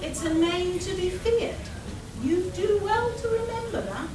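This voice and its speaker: A woman's voice: very high voice, measured pace. A woman playing a melodramatic, evasive village woman in a live comedic radio play. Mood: ominous storytelling. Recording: echoey hall, far mic, noisy.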